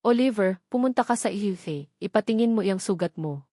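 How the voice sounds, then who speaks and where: A woman's voice: mid-range voice, animated, brisk, dark tone, no room sound, lightly acted. Storybook drama: a no-nonsense woman in charge, firm and serious, as the narrator puts her on; dry recording. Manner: serious, commanding. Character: stern authoritative woman hospital official.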